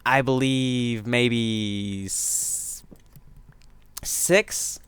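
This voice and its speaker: A man's voice: mid-range voice, fairly even intonation, extremely slow, a bit bright, clean tone, dry recording. A man giving rambling, self-correcting let's-play commentary, his voice strained from getting sick. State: voice strained from illness. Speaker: gaming commentator.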